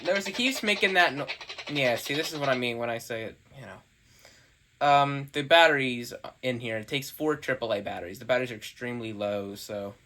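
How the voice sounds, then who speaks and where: A man's voice: mid-range voice, a little fast, sharp tone. A man giving a casual, unscripted product review, talking in a rambling, hesitant way at a desk. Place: indoors at a desk. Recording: clear background noise, homemade video.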